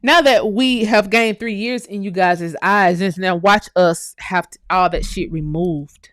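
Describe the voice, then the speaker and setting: A woman's voice: mid-range voice, unhurried, fairly bright tone, no echo. A woman hosting her own commentary channel, speaking in an impassioned, preachy monologue that repeats phrases and swears freely.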